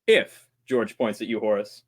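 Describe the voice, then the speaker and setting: A man's voice: on the low side, moderate pace, close to an everyday voice. A man playing an easygoing, wisecracking radio actor in a 1940s-style radio play. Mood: wry. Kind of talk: old-time 1940s radio play acting.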